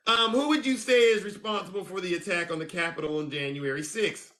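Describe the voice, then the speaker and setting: A man's voice: high voice, fairly quick. A man hosting a live call-in stream, teasing his callers in a playful way. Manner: playful, teasing, swings between loud and soft. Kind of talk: casual live call-in chat.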